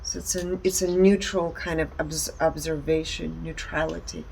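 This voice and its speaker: A woman giving a conversational, explanatory presentation in deliberate short phrases. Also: sits low, little pitch movement, vocal fry, over a noisy crowd, rough recording. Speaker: speaker presenting energy readings. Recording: background ambient noise.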